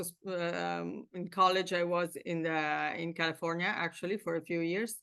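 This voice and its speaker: A woman's voice: fairly low pitch, pushed and bright, clean tone, no room sound. A middle-aged woman with an Italian accent, a winery founder being interviewed, who talks hesitantly with many fillers and restarts. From Italy. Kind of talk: casual interview conversation.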